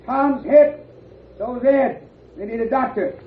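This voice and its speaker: A man's voice: very high voice, takes it slowly. An actor playing an outlaw gunman, blustering and easily cowed, in a 1950s radio Western. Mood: alarmed, cowed. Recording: old radio, tinny, noisy, echoey.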